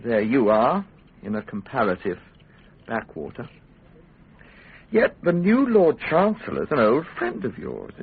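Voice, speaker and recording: Husky, insinuating schemer. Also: male voice, medium pitch, huge pitch swings, measured pace, pauses often, heavily acted. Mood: amused, needling. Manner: mock-genial, insinuating. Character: smooth-mannered, sly, needling royal official. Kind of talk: radio drama acting. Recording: tinny, band-limited radio drama recording.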